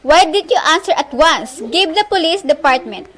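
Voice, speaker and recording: A young woman playing a frantic, nervous, demanding married woman in an amateur radio play, with halting, stumbling delivery. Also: sits high, lively intonation. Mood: desperate, demanding. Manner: halting line delivery, stumbling over words.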